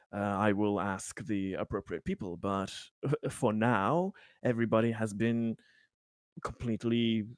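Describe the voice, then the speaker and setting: A man's voice: on the low side, no echo, close to an everyday voice. Guy doing a nerdy polite boss type for an rpg, rambles, hesitates, keeps it friendly, dry audio. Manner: rambling, hesitant, word salad. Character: awkward, over-polite male bureaucratic superior, friendly-like.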